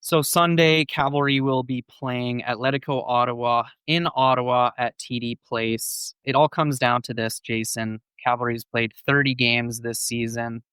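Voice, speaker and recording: A man's voice: mid-range voice, fairly even intonation, normal speed, few pauses, a bit bright, smooth, no room sound, low-quality recording. A man co-hosting a casual sports podcast, explaining things fluently. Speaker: podcast co-host.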